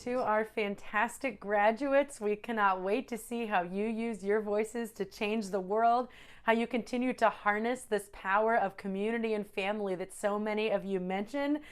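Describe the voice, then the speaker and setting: A woman's voice: mid-range voice, clean tone. A woman speaking earnestly to graduates, listing ways they can stay connected. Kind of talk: address to graduates.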